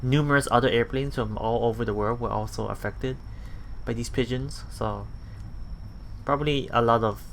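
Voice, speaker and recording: A man reading a visual novel aloud as a let's play host, halting and self-correcting, with muttered casual asides. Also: mid-range voice, steady tone, gravelly, a bit bright, slight background noise.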